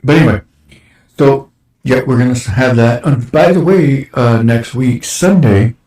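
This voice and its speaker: A man in his forties, hosting a casual chat show, his voice a little raspy from dust, rambling along with lots of fillers. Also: medium pitch, moderate pace, darkish tone. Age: 40s. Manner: rambling, with many fillers and restarts. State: slightly raspy from breathing dust. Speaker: show host. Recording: roomy echo.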